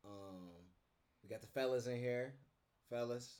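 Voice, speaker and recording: A man's voice: mid-range voice, steady tone, measured pace, a little mellow. A young man hosting a casual podcast, laid-back and joking with his friends. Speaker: podcast host.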